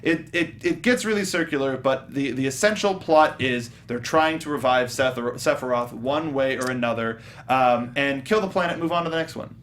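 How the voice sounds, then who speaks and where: A man hosting a review show, talking casually and haltingly in a geeky, informal way. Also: medium pitch, fairly bright tone, slight background noise. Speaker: host of a film review show. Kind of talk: casual panel discussion.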